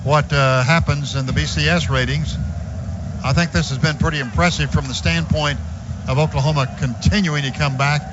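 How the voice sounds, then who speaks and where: A man doing radio play-by-play for a football game, briskly calling clock and yardage and getting louder on big plays. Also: fairly high pitch, fairly even intonation. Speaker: play-by-play announcer. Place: stadium radio booth. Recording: muffled broadcast with loud background noise.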